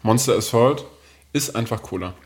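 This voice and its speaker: A man's voice: mid-range voice, moderate pace, slight background noise. Playful man chatting on a food podcast. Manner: playful, jokey, colloquial. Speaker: podcast host. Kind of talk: casual food podcast banter.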